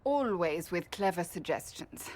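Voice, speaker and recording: An actress playing a hesitant, guarded but wryly fond woman in a video game cutscene, talking haltingly. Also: on the low side, animated, a little mellow. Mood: uneasy.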